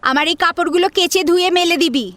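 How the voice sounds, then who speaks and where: A woman's voice: extremely high pitch, fast, a bit bright, no room sound. A woman playing a bullying, short-tempered young sister-in-law in an audio drama, scolding and haughty as she gives orders. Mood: haughty, bossy. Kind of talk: Bengali audio drama acting. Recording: music under the voice.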